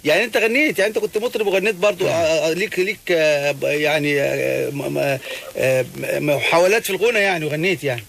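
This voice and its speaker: A man hosting a radio show, interviewing callers by phone in quick, restless talk full of fillers and restarts. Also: on the high side, gravelly, a little hiss or hum. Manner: fast, animated, many fillers and restarts. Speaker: radio host.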